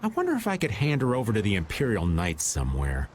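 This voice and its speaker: An actor playing a cheeky, shifty, easygoing old rogue in a video game, with folksy, joking, slangy talk. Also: male voice, fairly low pitch, wildly swooping pitch, fast, slight background noise. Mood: evasive.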